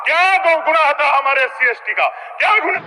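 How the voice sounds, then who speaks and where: High, piercing male legislator in echoing hall. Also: lively intonation, normal speed, background noise. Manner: forceful, accusatory, rhetorical questioning. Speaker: legislator in opposition. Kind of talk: impassioned political speech in a legislative assembly. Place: large legislative chamber. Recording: reverberant assembly hall sound system.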